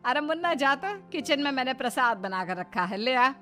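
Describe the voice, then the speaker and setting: A mocking, domineering middle-aged mother-in-law in a moral story, put on by a female narrator. She speaks high and forcefully, handing out orders over background music. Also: lively intonation, normal speed. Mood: bossy. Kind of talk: acted storytelling.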